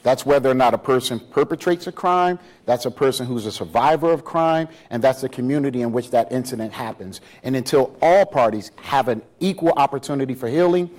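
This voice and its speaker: A man at mid pitch speaking as a panelist on a live event stage. His delivery is measured and even, with occasional ums and restarts. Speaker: panelist on justice reform. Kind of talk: panel discussion.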